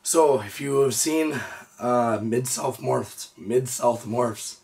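A hoarse man in his thirties, a reptile breeder hosting his own channel, chatting easygoingly with lots of "uh" and "so". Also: mid-range voice, slow pace, darkish tone, distant mic, slight background noise. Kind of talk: casual show-and-tell vlog. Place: indoor reptile room with storage drawers.

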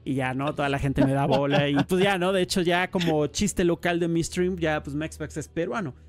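Brief: male podcast host, lively and slangy, voice a little high, soft music underneath. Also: normal speed. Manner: animated, enthusiastic, lots of slang. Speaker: podcast host and StarCraft caster. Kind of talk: casual podcast chat.

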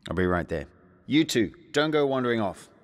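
A man's voice: mid-range voice, sing-song, a little fast, close to an everyday voice. An actor playing an impatient, sardonic, commanding male spaceship captain in a sci-fi audio drama. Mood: curt, wary. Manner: curt, commanding.

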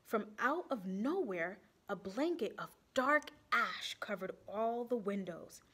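A young woman reading a picture book aloud to children in an expressive storytime style, pausing to put questions to them. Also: mid-range voice, slightly rough. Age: young adult. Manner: animated, asks the children questions.

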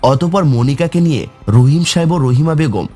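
A man's voice: fairly high pitch, expressive, normal speed, warm tone, faint music behind. A man narrating a scripted horror story for a cartoon.

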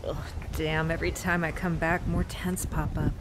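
An actress with a Mexican American accent playing a proud, vengeful young woman, intense and shifting between mutters and shouts. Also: deep voice, flat delivery, normal speed, noisy background, close to an everyday voice. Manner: intense, swinging from muttering to yelling. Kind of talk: audio drama acting.

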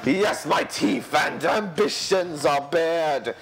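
A man's voice: fairly high pitch, animated. A man playing a haughty, scheming lion usurper in a theatrical musical song cover, sneering and drawing out his words. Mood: boastful, grandiose. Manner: pompous, sneering, drawn-out theatrical delivery. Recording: amateur song cover over backing music.

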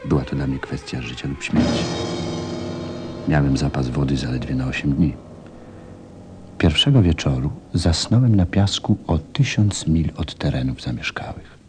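An actor playing a reflective pilot who narrates a radio play in a wistful, dramatic storytelling manner. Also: male voice, extremely low pitch, normal speed, a little mellow, faint music behind. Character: reflective pilot recalling his little friend.

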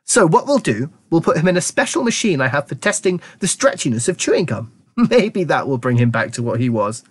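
A reader voicing an eccentric, excitable male inventor in a children's story, fussy and gleeful, given to musing. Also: mid-range voice, a little fast, breathy edge, pitched above the performer's own voice. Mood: pondering. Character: eccentric, excitable old-fashioned male factory owner and inventor.

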